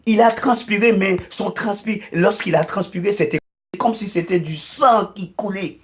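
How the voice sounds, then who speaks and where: A high-pitched man whose first language is English preaches as a guest on a talk show. He talks emphatically, repeats his phrases and fills gaps with hesitant "euh"s. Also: moderate pace.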